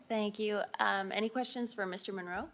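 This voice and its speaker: Woman mayor, chairs a council hearing, slow and measured. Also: mid-range voice, fairly even intonation, a bit bright, a little hiss or hum. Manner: measured, procedural. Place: council chamber.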